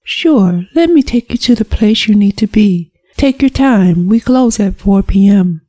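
An actress playing a courteous, helpful records clerk in an audio drama. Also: female voice, mid-range voice, animated, a little slow, warm tone.

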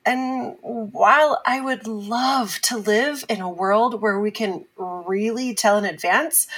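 A woman playing an earnest abuse survivor and advocate as a talk-show guest in a fiction podcast, speaking in a measured, explanatory way. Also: medium pitch, a bit bright, breathy edge, rough recording.

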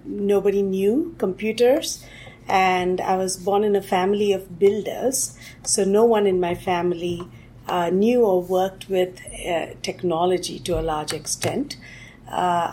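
A woman from India, a technology executive answering interview questions thoughtfully and often pausing on "uh". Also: medium pitch, darkish tone, slight background noise, rough recording. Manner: thoughtful, with frequent "uh" fillers.

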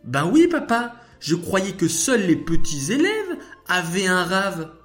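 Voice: medium pitch, very animated, slow pace, soft background music, pitched above the performer's own voice. A storyteller voicing a curious, enthusiastic little girl who talks eagerly to her papa. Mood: puzzled. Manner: eager, exclaiming, astonished questions.

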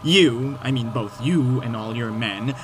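A man's voice: medium pitch, unhurried, noisy background, lightly acted. An actor in an audio drama playing an insistent, wily messenger who is pointedly polite to his superiors. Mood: grave, insistent.

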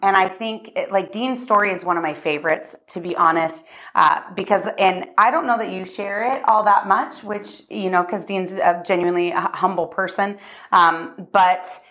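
A woman hosts a weekly podcast in a bubbly, chatty way, full of likes and little asides. Her voice sits at an everyday middle pitch, and she sounds eager as she draws out her guest. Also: fairly even intonation, moderate pace, fairly bright tone. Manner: bubbly, chatty, lots of fillers. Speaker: podcast host. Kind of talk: casual interview.

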